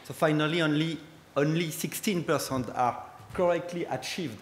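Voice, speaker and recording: Man, strong French accent, conference speaker, hesitant English, echoey hall, faint background noise. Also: fairly high pitch. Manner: hesitant, halting English with fillers. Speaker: conference speaker, company head. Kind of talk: conference presentation. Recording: echoey hall with long reverb.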